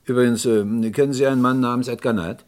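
An actor playing a courteous but persistent police inspector in a radio crime drama, probing with hesitant politeness. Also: male voice, mid-range voice, fairly even intonation, fast, a little mellow, natural voice. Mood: probing, polite.

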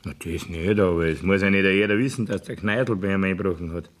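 An actor playing a sly, wary farmer in a 1970s radio play, speaking in a rustic manner with a Bavarian accent. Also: male voice, deep voice, quick pace, slight background noise, close to an everyday voice. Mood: scheming. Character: sly, wary male farmer sheltering an outlaw.

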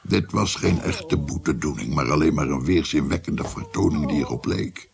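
An actor playing a shaken old grandfather who recounts a haunting memory in a dramatic, halting radio play narration. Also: extremely low pitch. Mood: grave, haunted. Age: older. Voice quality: husky, gravelly.

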